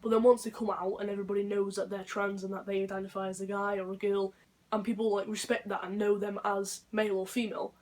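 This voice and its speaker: A young man with a deep voice, talking unscripted in a rambling, earnest vlog monologue. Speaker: vlogger.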